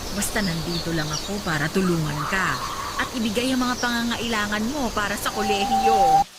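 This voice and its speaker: A woman's voice: mid-range voice, moderate pace, close to an everyday voice. A woman playing a generous, caring aunt in a Tagalog radio drama, with a reassuring, hospitable manner. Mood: reassuring, earnest. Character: generous, caring aunt hosting her niece in her home. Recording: loud music under the voice.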